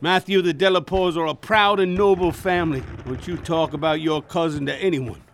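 An actor playing a dying old plantation master from the American South in a radio drama, proud and urgent. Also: male voice, a little high, fairly even intonation, a little fast, a little hiss or hum, close to an everyday voice. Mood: grave, confiding. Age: older.

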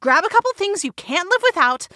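A woman's voice: very high voice, very animated, brisk, sharp tone, no room sound, studio quality, heavily acted. An actress playing a panicking, devout, strict mother in an audio drama, frantic and pleading. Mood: frantic, commanding.

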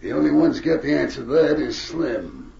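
An actor playing a rough, shifty fur smuggler with a folksy, ungrammatical way of talking, in 1940s radio drama style. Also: male voice, medium pitch, sing-song, moderate pace, crowd noise. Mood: gossiping, low. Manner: folksy, ungrammatical drawl of an outlaw. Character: rough male fur-smuggling gang member, shifty and gossipy. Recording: noisy, muffled old radio recording, echoey.

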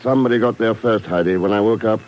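An actor playing a cool, unflappable secret agent in a 1950s radio drama, speaking in a dry, understated way. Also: male voice, lowish voice, fast talker, close to an everyday voice. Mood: confident, accusing. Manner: dry, understated, matter-of-fact. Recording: muffled, noisy 1950s radio recording.

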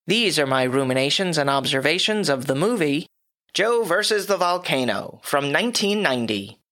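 A man hosting his own podcast, reading a scripted movie review with dry, wry asides, his voice a little hoarse from a cough. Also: a little high, sing-song, moderate pace, bright, forceful tone. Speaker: podcast host.

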